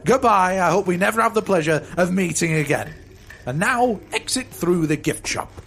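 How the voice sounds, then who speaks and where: An actor playing a brash, greedy, boastful whale-oil tycoon in a comedic audio drama, with a bombastic, blustering delivery. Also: male voice, sits high, lively intonation, moderate pace, gravelly, a bit bright, slight background noise, exaggerated voice.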